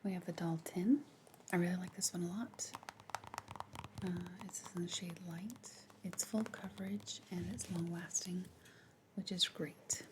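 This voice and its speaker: A woman whispering softly and unhurriedly in an ASMR roleplay, gently instructing the listener as a makeup artist. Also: fairly low pitch, fairly even intonation, a little slow, noisy background. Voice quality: breathy whisper.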